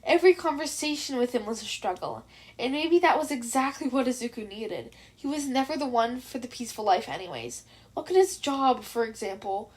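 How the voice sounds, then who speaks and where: A woman reading fan fiction aloud as an expressive amateur story narrator. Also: fairly high pitch, a little fast.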